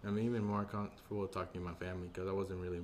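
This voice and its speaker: A young man with a deep voice, a guest on a casual podcast, talking in a soft, hesitant way with many pauses and restarts. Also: level tone, a little hiss or hum.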